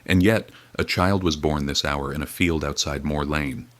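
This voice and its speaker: An actor playing a weary, grim radio reporter, speaking in a solemn, measured, grave way. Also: male voice, a bass voice, no room sound. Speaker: field reporter. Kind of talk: dramatic period radio reporting.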